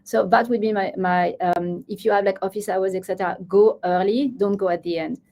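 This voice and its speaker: A woman presenting at an online meetup, giving casual, emphatic advice in a halting, informal way. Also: mid-range voice, fairly even intonation, warm tone. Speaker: presenter at an online user group.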